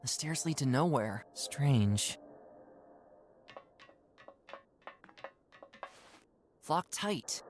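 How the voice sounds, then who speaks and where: A young actor voicing an earnest, puzzled animal adventurer in a cartoon game, wondering aloud with expressive observations. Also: mid-range voice, a little hiss or hum, close to an everyday voice. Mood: puzzled, resigned.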